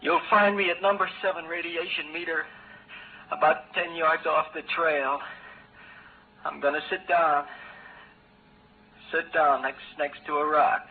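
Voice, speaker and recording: An actor in a 1950s radio drama playing a stranded astronaut running out of oxygen, desperate and stammering. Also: male voice, on the high side, fairly even intonation, unhurried, long pauses, slightly rough. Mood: exhausted, dazed. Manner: stammering, pleading, repeating himself. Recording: muffled, noisy vintage radio recording.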